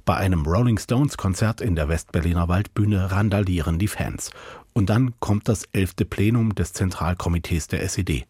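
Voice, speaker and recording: A man's voice: low voice, normal speed, a little mellow, slightly rough, no echo, studio quality. A man reading scripted documentary narration as a radio reporter.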